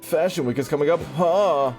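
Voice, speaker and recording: A man's voice: a little high, normal speed, a little mellow. A young man doing casual livestream reactions to a game, joking in slang and repeating phrases for effect. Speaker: game streamer. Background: game music.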